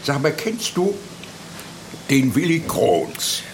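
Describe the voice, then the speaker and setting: Jovial older fixer uncle, unhurried, lively pitch swings, folksy, noisy surroundings. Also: male voice. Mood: wily, self-satisfied. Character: jovial, wily older uncle with political connections. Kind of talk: radio drama acting.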